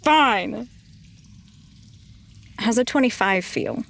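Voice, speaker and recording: A woman's voice: fairly high pitch, animated, extremely slow, creaky, fairly bright tone. A woman playing a tabletop roleplaying game, moving between in-character dialogue and casual table talk.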